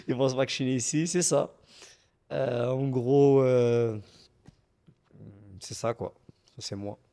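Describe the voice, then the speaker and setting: A young man from Montreal with a Québécois French accent speaks in a casual interview. His voice sits at an ordinary mid-range pitch. He talks frankly and stresses his points with repeated words. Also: very animated, takes it slowly, pauses often. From Montreal, Canada. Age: 20s. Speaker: guest, professional boxer.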